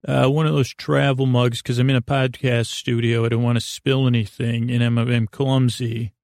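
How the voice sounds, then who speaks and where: A man hosting a podcast with a soothing, creaky voice, rambling in a halting, silly, whimsical way as if for bedtime. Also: medium pitch, little pitch movement, darkish tone, no room sound, studio quality. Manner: meandering, halting, silly and goofy. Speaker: podcast host. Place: podcast studio.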